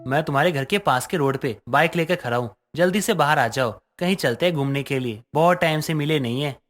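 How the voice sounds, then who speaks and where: A man's voice: on the high side, brisk, a bit bright, lightly acted. A man playing an eager, teasing young husband in a story cartoon. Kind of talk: Hindi story cartoon dialogue. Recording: music under voice.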